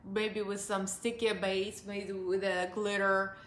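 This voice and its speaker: A woman's voice: mid-range voice, flat delivery, slow, a bit bright, roomy sound, slight background noise. A woman in her forties from Russia with a Russian accent, chatting upbeat through a makeup review from her sofa. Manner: chatty and upbeat, laughs often. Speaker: beauty vlogger. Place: living room, seated on a sofa.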